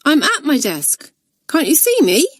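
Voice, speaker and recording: A mischievous schoolgirl, as a woman reader puts her on, pleading innocence in a high, thin voice. She sounds plaintive and wronged while playing a prank. The sound is dry. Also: huge pitch swings, unhurried, dry recording, exaggerated voice.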